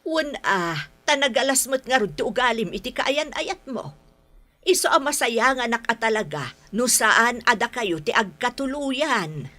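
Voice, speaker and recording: A woman's voice: on the high side, very animated, unhurried, bright, forceful tone, exaggerated voice. An actress playing a patient, middle-aged Ilocano mother in a radio drama, admonishing her grown daughter in a motherly way. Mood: gently chiding. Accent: Ilocano, northern Philippines.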